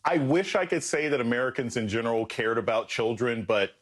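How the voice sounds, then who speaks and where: A man's voice: mid-range voice, lively intonation, a little fast. A man appearing as a political analyst guest on a news panel, blunt and sardonic in his commentary. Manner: blunt and sardonic, with pointed jabs.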